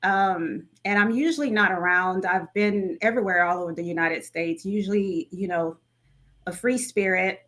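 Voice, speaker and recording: A woman in her thirties from Cameroon, a lawyer guest in a studio, talking conversationally with many pauses and self-corrections. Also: fairly low pitch, fairly bright tone. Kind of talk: interview answers.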